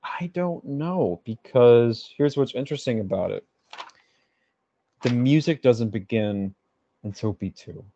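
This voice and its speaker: A man's voice: mid-range voice, unhurried, dark tone, dull audio. A man co-hosting a podcast, chatting casually about music theory, thinking aloud and hedging as he goes. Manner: thinks aloud, hedges and restarts. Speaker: podcast co-host. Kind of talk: casual music analysis chat.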